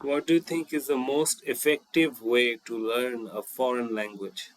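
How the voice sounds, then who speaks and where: A man's voice: mid-range voice, fairly even intonation, a little slow, rough recording. A man coaching a student, asking interview questions and correcting the answers. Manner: corrective, quizzing, switching into Bangla for tips. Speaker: English tutor.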